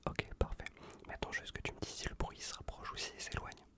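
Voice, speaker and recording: A man whispering close to the microphone in an ASMR exam roleplay, giving casual, reassuring instructions in a breathy voice with soft repetitions. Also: fast. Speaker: ASMR performer playing an improvised examiner. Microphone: close USB condenser microphone.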